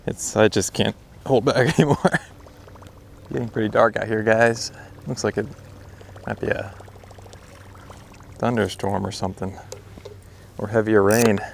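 A man's voice: lowish voice, steady tone, measured pace, creaky, pauses often, a little hiss or hum. A young American man chatting casually and informally to camera as a fishing vlogger outdoors. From United States. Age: young adult. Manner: easygoing, informal. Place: outdoors by a pond, windy.

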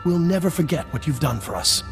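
A man playing a friendly, earnest middle-aged small-town mayor in a video game, warm, effusive and a little hesitant. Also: a little high, expressive, a little fast, creaky, over music. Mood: grateful.

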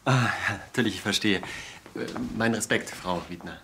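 An actor playing a polite, formal visiting police inspector in a TV crime drama. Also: male voice, lowish voice, moderate pace, bright, forceful tone, a little hiss or hum. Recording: TV drama soundtrack with music.

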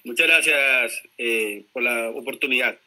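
Bright-voiced Colombian man, earnest. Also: mid-range voice. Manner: earnest, halting with fillers. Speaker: caller. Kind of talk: casual political talk.

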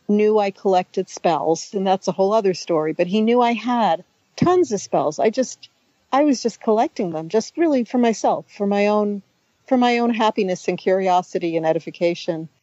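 A middle-aged woman, a native Hungarian speaker raised in the United States, talking about her writing in a relaxed, chatty interview with many restarts and asides. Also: mid-range voice, moderate pace, no room sound, muffled recording. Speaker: author and guest.

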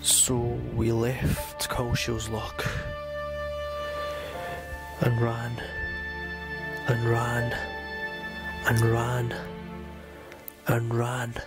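A man narrating in a slow, solemn, mock-grave documentary voice-over. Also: medium pitch. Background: loud music.

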